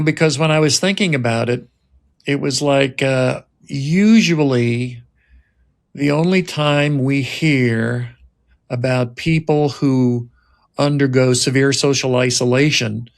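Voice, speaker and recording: A man speaking as a writer-director and host, deliberate and pausing as he narrates or explains. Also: medium pitch, gravelly. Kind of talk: scripted narration and reflective talk.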